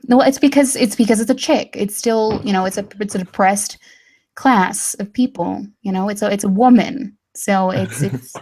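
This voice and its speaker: A woman's voice: mid-range voice, moderate pace, darkish tone. A young woman from Texas chatting casually as a guest, hesitant with many fillers and restarts, and dryly sarcastic. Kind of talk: casual chat.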